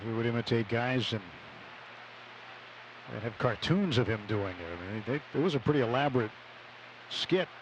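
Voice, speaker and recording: American man, mid-pitched, over noisy stadium ambience. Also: moderate pace. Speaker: baseball colour commentator. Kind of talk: casual live sports commentary. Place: stadium broadcast booth. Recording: live radio booth with loud stadium ambience.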